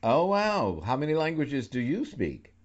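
A man's voice: fairly high pitch, sing-song, a little slow. A man hosting a talk radio show, asking long, rambling, thoughtful questions with many restarts. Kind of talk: radio interview, conversational questions. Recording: slightly dull lo-fi radio sound.

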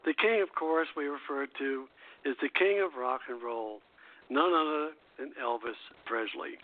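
A man's voice: medium pitch, unhurried. An older man hosting a nostalgic radio show, moving between scripted presenting and casual chat. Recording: narrow phone-line radio audio.

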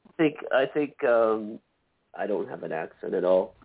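A Canadian man chatting on a call-in show in a relaxed, joking way. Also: lowish voice, moderate pace. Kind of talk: casual call-in show chat. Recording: tinny phone line.

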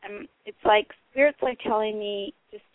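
A woman's voice: medium pitch, fairly even intonation, moderate pace, no room sound. Hesitant woman caller on a phone line. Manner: hesitant, many "um"s and "you know"s. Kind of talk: phone call to a radio show. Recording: tinny phone line, dry.

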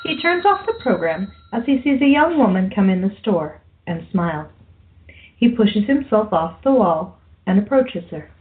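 A woman's voice: medium pitch, big pitch swings, moderate pace, slight background noise. A woman narrating a radio play, reading out scenes and stage directions from a script. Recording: muffled, narrow phone-like audio.

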